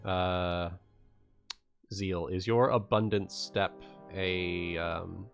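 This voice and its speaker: A man narrating a live tabletop roleplaying session as game master, halting as he searches for words. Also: deep voice, fairly even intonation, vocal fry. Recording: music playing behind the voice. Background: background music.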